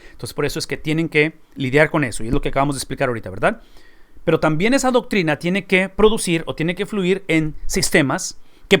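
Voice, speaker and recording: A middle-aged man with a Mexican accent giving an earnest Bible study lecture, stressing points by repeating them and often correcting himself mid-phrase. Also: fairly high pitch. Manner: earnest, emphatic, repeats key phrases, frequent self-corrections. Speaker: Bible teacher.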